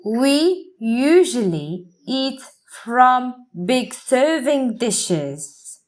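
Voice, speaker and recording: A woman English teacher reading a practice passage aloud for learners, enunciating deliberately word by word. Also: high voice, big pitch swings.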